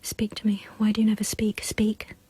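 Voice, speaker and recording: An actress playing an anxious, demanding woman in dramatic verse, with breathy, husky, fretful and urgent questioning. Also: mid-range voice, completely flat delivery, normal speed, mellow. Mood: agitated, pleading. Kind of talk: dramatic poetry recital.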